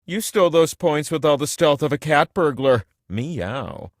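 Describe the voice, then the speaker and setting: A male actor voicing a theatrical, excitable talking prize wheel that hosts a video game, with gushing, dramatic delivery. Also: on the high side, measured pace, dry recording, studio quality. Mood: delighted. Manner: gushing, theatrical, swings between thrilled and mock-dismayed. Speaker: game show host. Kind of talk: animated video game character voice lines.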